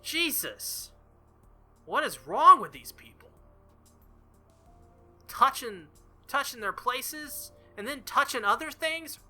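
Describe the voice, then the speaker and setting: A man doing animated, exclamatory playthrough commentary while reading a visual novel aloud. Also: very high voice, takes it slowly, long pauses, faint music behind.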